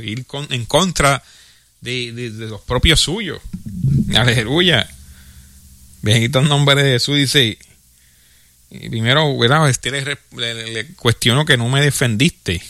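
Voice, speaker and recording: A man with a Puerto Rican accent preaching a sermon, reading scripture aloud and explaining it, filling his pauses with hallelujahs and chuckles. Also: medium pitch, pushed and bright, no room sound. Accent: Puerto Rican Spanish. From Puerto Rico. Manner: fills pauses with "hallelujah" and "glory to God", chuckling often.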